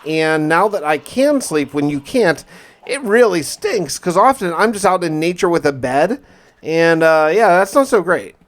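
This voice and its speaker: A man with a slightly high voice hosts a survival game playthrough. He narrates in the first person, casually and conversationally, with a mildly wry edge. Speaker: gaming video host narrating a survival playthrough.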